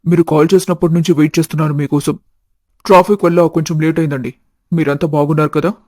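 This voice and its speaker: Man as a genial father, evenly pitched. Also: flat delivery, fast talker, a little mellow, dry recording, studio quality, close to an everyday voice. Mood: polite, reasonable. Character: genial, practical middle-aged father arranging a match. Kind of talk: animated moral story dialogue.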